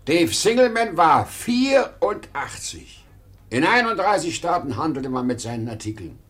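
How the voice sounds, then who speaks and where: An older man acting a proud, nostalgic, pleading travelling salesman in a 1950s German radio play, emotional and halting. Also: on the high side, very animated, fairly bright tone. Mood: nostalgic, fervent. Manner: emotional, halting when pleading, repeating himself.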